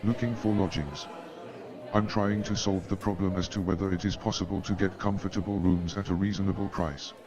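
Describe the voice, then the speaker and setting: Radio play casting: male actor as eager Victorian ex-soldier, low-pitched, hearty, dull recording with crowd murmur. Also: fairly even intonation, brisk, lightly acted. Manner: exuberant, hearty. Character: Watson, lonely, eager ex-army man in Victorian London. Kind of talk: comic staged radio play acting. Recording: dull band-limited sound, crowd murmur behind.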